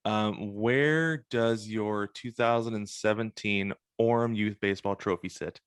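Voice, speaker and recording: A man's voice: lowish voice, measured pace, clean tone, dry recording, clean studio sound. An American man chatting casually as a podcast host. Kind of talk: casual interview and sign-off chat.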